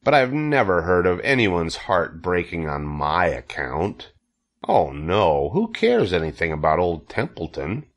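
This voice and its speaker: A man's voice: deep voice, wildly swooping pitch, unhurried, full character voice. A man voicing a lazy, self-pitying old storybook rat with a growling, sarcastic, complaining manner. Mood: mocking, self-pitying.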